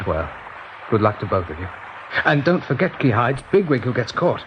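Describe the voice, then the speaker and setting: Male actor, level-headed rabbit leader, expressive mid-pitched voice, encouraging, noisy radio play. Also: moderate pace, lo-fi sound, natural voice.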